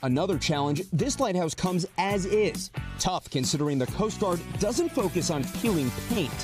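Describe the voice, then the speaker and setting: A young man narrates a news feature in an upbeat, scripted way, his voice a little high and full of rises and falls. Music plays clearly under his words. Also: animated, normal speed. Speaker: news correspondent.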